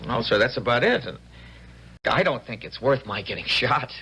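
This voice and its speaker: A man's voice: medium pitch, sing-song, moderate pace, sharp tone, breathy edge, full character voice. An actor playing a frightened, naive young infantryman who pleads in stammering, rustic, earnest speech in a radio drama. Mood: earnest, explaining. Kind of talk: 1970s radio drama acting.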